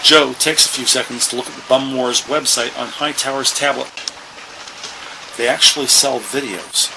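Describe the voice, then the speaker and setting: A man's voice: mid-range voice, steady tone, normal speed, vocal fry, lightly acted. Casting: male actor as a matter-of-fact procedural narrator, mid-range voice, scripted and descriptive, over noisy ambience. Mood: measured. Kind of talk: scripted audio drama narration, police procedural.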